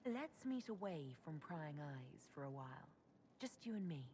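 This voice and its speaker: A woman's voice: deep voice, a little slow, slight background noise. An actress playing a composed female leader who openly admits her feelings. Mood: candid. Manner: calm, direct and dry. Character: composed female leader of an order, candid in romance. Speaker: player character. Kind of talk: video game dialogue. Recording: loud music underneath.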